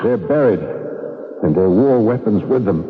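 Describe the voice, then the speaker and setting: An actor playing an earnest, plucky young hero in vintage radio drama, plain-spoken with dramatic delivery. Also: male voice, fairly low pitch, lively intonation, normal speed, natural voice. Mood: relieved, matter-of-fact. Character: earnest, plucky male hero, murdered professor's son. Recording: tinny, echoing old radio recording.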